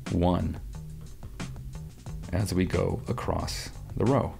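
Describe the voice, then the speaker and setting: Middle-aged male tutor, very low and gravelly, methodical and calm, soft music under the voice. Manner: calm, methodical explaining with small restarts. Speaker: audio software tutorial presenter. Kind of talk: screen-recorded software tutorial. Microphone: headset-style headphones, webcam inset.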